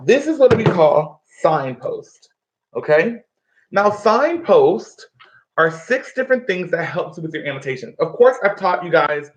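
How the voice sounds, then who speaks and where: A man's voice: fairly high pitch, slight background noise. A man teaching a live online reading lesson from his living room, speaking instructively and often checking in with his pupils. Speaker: schoolteacher. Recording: dull, lo-fi livestream audio.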